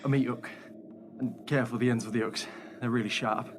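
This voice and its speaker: A man's voice: mid-range voice, steady tone, a little fast, close to an everyday voice. Actor as an anxious man under siege, noisy background. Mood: urgent. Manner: urgent, earnest. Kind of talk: radio play acting. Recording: clear background noise.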